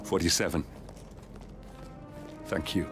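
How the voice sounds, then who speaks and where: Low, composed mission handler. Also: male voice, fairly even intonation, slow. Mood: satisfied. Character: composed male mission handler guiding an assassin, coolly purposeful. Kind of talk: video game cutscene acting. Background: music.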